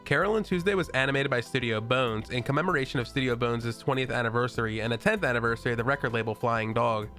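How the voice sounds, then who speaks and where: A man hosting an interview, reading scripted background facts briskly and asking questions. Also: medium pitch, bright, forceful tone, soft background music. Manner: brisk, reads facts and lists of titles. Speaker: interview host.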